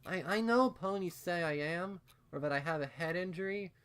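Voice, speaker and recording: A man voicing an earnest, anxious female pony in a storybook reading, with halting, stammering, insistent delivery. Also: high-pitched, fairly bright tone, clear, resonant tone, voice pushed up. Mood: defensive, earnest. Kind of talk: acted storybook dialogue in a fan fiction reading.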